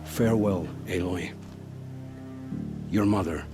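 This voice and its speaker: An actor playing a remorseful, earnest tribesman in a video game, speaking solemnly and haltingly. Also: low-pitched, over music. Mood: solemn, regretful. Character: solemn, remorseful male tribesman bidding farewell.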